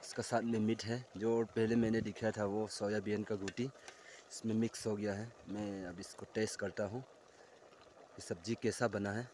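A young man with a fairly low voice talks viewers through his outdoor cooking in an easy, unhurried way. He speaks into a phone camera held close. Faint outdoor noise sits under his voice. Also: steady tone, darkish tone. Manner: plain, unhurried explaining, addresses viewers directly. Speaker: cooking vlogger. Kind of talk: casual explaining to camera. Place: outdoors on a forested hillside.

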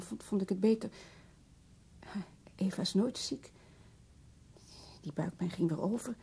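A stricken, anxious mother in a radio play. Her voice is very husky and soft-edged, with a creaky rasp, and she speaks haltingly. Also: medium pitch, full character voice. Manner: halting, repeating words in disbelief. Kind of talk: radio drama acting.

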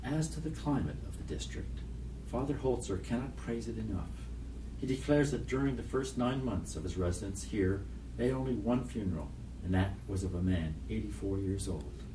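Low-voiced man reading poetry, distant and noisy. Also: expressive, moderate pace. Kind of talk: scripted poetry reading, measured. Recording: distant mic, noisy background.

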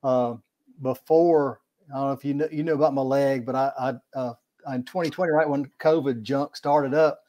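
A man's voice: mid-range voice, steady tone, normal speed, dark tone. Middle-aged man, podcast guest over video call, rambling, folksy, repetitive. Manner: rambling, repeats words, folksy. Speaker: podcast guest, kayak angler. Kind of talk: casual video-call interview. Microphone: video call webcam mic.

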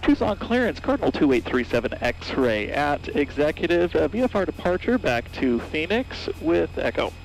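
Creaky American man on aviation radio. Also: fairly high pitch, very animated, moderate pace, few pauses, a little hiss or hum, low-quality recording. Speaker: private pilot. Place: small airplane cockpit. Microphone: aviation headset boom mic. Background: music, aircraft.

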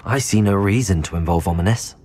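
A young man with a British accent playing a polite, composed student wizard hero in a video game, speaking in a measured way. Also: low voice, darkish tone. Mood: calm, sympathetic. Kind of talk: video game cutscene acting.

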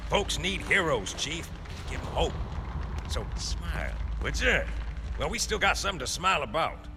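A wisecracking veteran sergeant, gruff and folksy. His fairly high voice swings up and down as he teases, and music plays under him. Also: sing-song, normal speed. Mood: teasing.

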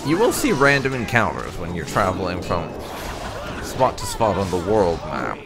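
Man, low creaky voice, unhurried casual game commentary, faint music behind. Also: sing-song. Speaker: gaming video host.

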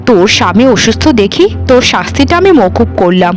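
A woman's voice: mid-range voice, very animated, brisk, faint music behind, natural voice. A woman voicing a stern, scornful household mistress in a cartoon, scolding and commanding. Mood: angry contempt.

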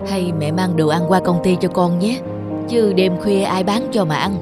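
A woman performs an older mother in a cartoon drama. She is homely, caring and a little fussing in her concern, heard over clear music. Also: lowish voice, moderate pace, lightly acted. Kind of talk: animated drama dub.

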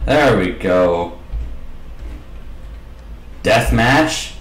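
A man with a slightly raspy voice giving casual commentary over his gameplay, mumbling asides as he plays. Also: fairly low pitch, lively intonation, very slow, echoey room, slight background noise. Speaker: gaming channel host.

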